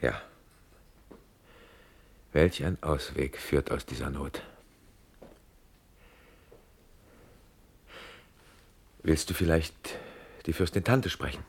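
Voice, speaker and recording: A deep, husky male voice as a grave, loyal officer in a radio play. He counsels with slow, deliberate, earnest weight. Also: full character voice. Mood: reproachful. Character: grave, worried loyal officer friend of a prince. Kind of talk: classical verse radio drama acting.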